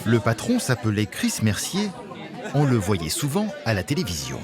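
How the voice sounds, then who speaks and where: A man narrates a regional travel documentary in a measured, storytelling way. His pitch rises and falls very freely across each sentence. Loud music plays under his voice. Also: wildly swooping pitch, a loud crowd. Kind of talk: scripted narration.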